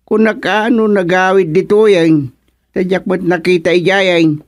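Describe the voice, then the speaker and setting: A man's voice: high-pitched, fairly even intonation, a little slow, no room sound, studio quality. A man playing a grumbling village chairman in an Ilocano radio comedy, blunt and questioning, with an Ilocano accent. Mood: puzzled. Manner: blunt, questioning, muttering interjections. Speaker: actor in radio comedy drama. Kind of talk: Filipino radio drama comedy acting.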